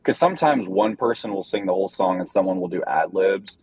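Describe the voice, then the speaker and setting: A man's voice: sits low, animated, brisk, gravelly, darkish tone, slightly rough, no room sound. A young man, a band member guest, chatting casually and rambling with lots of fillers. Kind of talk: casual interview chat. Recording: dull lo-fi sound.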